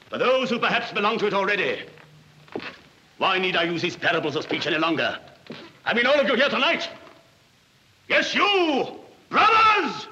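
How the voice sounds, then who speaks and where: An actor playing a fanatical Nazi German officer giving a fervent, grandiose speech in a 1940s film. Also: male voice, very high voice, pushed and bright, exaggerated voice. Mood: exalted. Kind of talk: theatrical political oratory in a 1940s film. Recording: dull 1940s film soundtrack.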